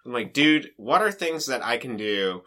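A man with a nasal voice co-hosting a podcast in casual comedic chat, now and then dropping into soft mock-seductive voices. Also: mid-range voice, very animated, normal speed. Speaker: podcast co-host. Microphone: desk USB condenser mic.

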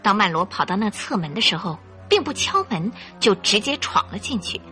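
Animated woman radio drama narrator. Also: mid-range voice, moderate pace, faint music behind. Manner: expressive, suspenseful storytelling.

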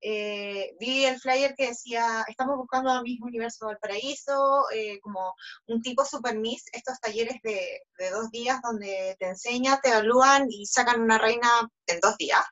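A young woman with a Chilean accent, a pageant contestant telling her story in a lively, chatty way full of fillers. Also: a little high, normal speed, lo-fi sound. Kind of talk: interview storytelling.

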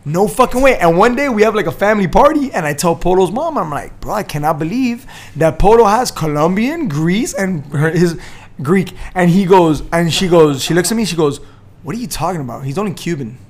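A young man hosting a podcast, telling loud, profane, teasing stories among friends. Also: high voice, lively intonation, a little fast. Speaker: podcast host. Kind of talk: rowdy casual chat among friends.

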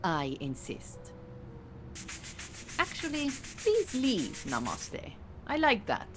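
An actress playing an exacting Iranian refugee mentor in an audio play, speaking formally and precisely with dry, bossy authority. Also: female voice, on the high side, huge pitch swings, takes it slowly, noisy background, heavily acted. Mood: exasperated, insistent. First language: Farsi. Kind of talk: audio theatre acting.

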